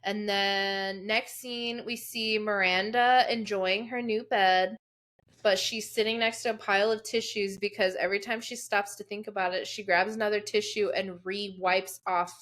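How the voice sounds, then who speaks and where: A woman's voice: mid-range voice, fairly even intonation, a bit bright. A woman in her late twenties, co-hosting a casual show recap podcast, chatty and candid. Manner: chatty, candid, quick to laugh. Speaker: podcast co-host.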